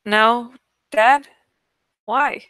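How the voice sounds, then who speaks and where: A woman's voice: a little high, steady tone, extremely slow, pushed and bright, clear, resonant tone, dry recording, studio quality, full character voice. A woman voicing a shaken teenage boy in a story, hesitant and earnest, guarded with his father.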